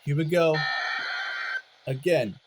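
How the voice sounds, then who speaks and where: A man hosting a casual hobby demonstration video, speaking in a halting, rambling, apologetic way. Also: a little high, heavy background noise.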